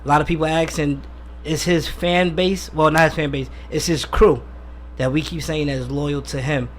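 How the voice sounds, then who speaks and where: A man's voice: on the high side, steady tone, moderate pace, a little hiss or hum. A man hosting a casual radio interview in informal, slangy speech, putting questions to a guest. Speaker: radio host. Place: radio studio.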